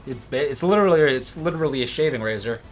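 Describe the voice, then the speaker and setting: A man's voice: mid-range voice, animated, racing. A man co-hosting a let's play, chatting casually as he comments on a video game. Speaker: co-host of a gaming let's play. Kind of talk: casual gameplay commentary chat.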